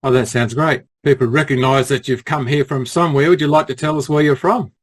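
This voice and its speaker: A man's voice: mid-range voice, fairly even intonation, a little fast. A man conducting a casual interview, speaking in a friendly, welcoming way.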